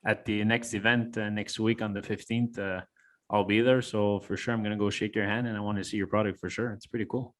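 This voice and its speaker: A man's voice: lowish voice, level tone, brisk. A man chatting casually as a participant on a podcast. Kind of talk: casual chat.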